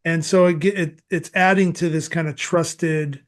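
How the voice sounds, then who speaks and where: A man with an American accent hosting a casual interview, speaking thoughtfully and haltingly. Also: fairly high pitch, steady tone. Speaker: podcast host.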